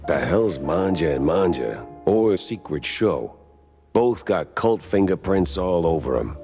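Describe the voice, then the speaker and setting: A very low, gruff cartoon gumshoe, world-weary and dryly wisecracking in 1930s noir style. The sound is a little muffled, with music playing behind. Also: male voice, very animated, measured pace, darkish tone, heavily acted. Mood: brooding. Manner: dry, wisecracking noir delivery. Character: hardboiled, world-weary cartoon mouse private detective.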